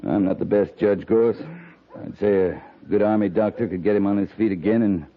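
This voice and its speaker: An American actor playing a stern, menacing cavalry captain in a 1950s radio western, with a clipped, dry, sardonic delivery. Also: male voice, on the low side, normal speed, natural voice. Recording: muffled, noisy 1950s radio recording.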